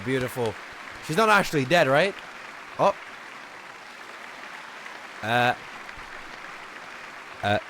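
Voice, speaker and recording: A man streaming a game and reacting casually, with drawn-out, incredulous exclamations. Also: on the high side, slight background noise. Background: soft game music.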